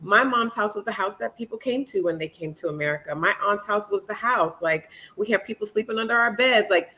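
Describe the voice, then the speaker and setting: A woman's voice: medium pitch, fairly quick, sharp tone. A woman from Jamaica, co-hosting a podcast in casual chat, talking freely with many "like" fillers.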